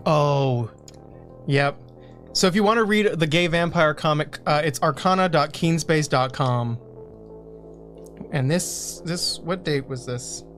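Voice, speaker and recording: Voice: medium pitch, a little slow, pauses often, soft background music. A livestream co-host chatting casually, rambling and often repeating phrases.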